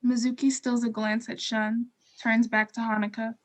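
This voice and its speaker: A woman narrating stage directions from a script in a measured, neutral, descriptive way. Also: on the high side, level tone.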